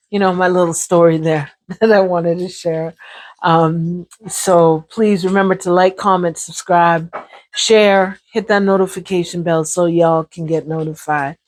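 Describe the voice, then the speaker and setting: A woman speaks straight to her viewers in a loose, chatty way and laughs easily. Her voice sits low and a little hoarse. The sound is close and dry, with no room around it. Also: no room sound. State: voice getting sore and hoarse. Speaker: channel host sharing her brain injury experience. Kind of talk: casual talk to camera.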